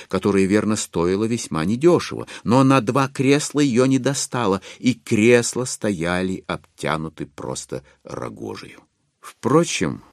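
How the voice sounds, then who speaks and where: A man playing a wry author-narrator in an audio drama, phrasing deliberately and expressively with irony. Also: lowish voice, no echo, clean studio sound.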